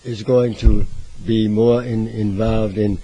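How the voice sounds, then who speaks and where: A low-voiced man hosts the interview with a dark, mellow tone. His voice is husky, and he phrases his questions hesitantly, with many fillers. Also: little pitch movement, slow, highs cut off. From United States. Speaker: host.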